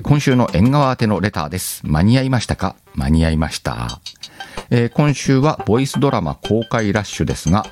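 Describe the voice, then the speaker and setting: A man's voice: deep voice, darkish tone. A man hosting a casual show, rambling as he chats and reads listener letters, with music behind him. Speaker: host.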